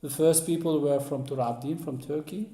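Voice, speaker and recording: A man, recounting family history as an interview guest in halting English, searching for words. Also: a little high, fairly even intonation, mellow. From Lebanon. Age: 40s. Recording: roomy, echoey sound.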